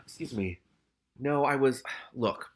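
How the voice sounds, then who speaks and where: A man's voice: mid-range voice, takes it slowly, natural voice. A man voicing a shifty, evasive cat who hedges uneasily and sounds guilt-ridden in a storybook reading. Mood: uneasy. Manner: stammering, hedging when cornered.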